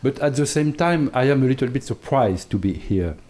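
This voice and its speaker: A man's voice: mid-range voice, expressive, a little fast, darkish tone. A man with a French accent, a native French speaker, giving an academic lecture on law in careful, hesitant English full of fillers and restarts. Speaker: invited law professor speaker.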